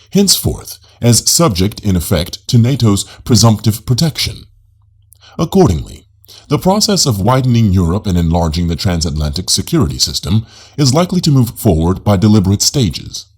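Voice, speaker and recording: A man reading a nonfiction audiobook in a formal, measured manner. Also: sits low, a little mellow, husky. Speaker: audiobook narrator.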